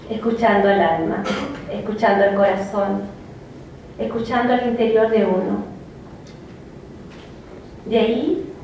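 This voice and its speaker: A woman poet giving a reflective, halting personal talk, with many fillers and restarts. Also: on the high side, darkish tone. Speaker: poet speaking of her native language. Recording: echoey room, from a distance, faint noise.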